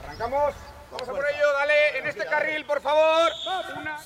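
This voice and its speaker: A man's voice: extremely high pitch, fairly even intonation, normal speed, very noisy. A man coaching players through training drills outdoors, urging them on in short clipped commands. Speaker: fitness coach.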